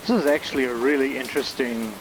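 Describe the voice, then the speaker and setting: A man with a medium-pitched voice plays a game and comments casually, thinking aloud in an easygoing way. Heavy noise and rain-like ambience sit behind him. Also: steady tone, quick pace. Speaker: game reviewer and player. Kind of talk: casual gameplay commentary with on-screen text read aloud.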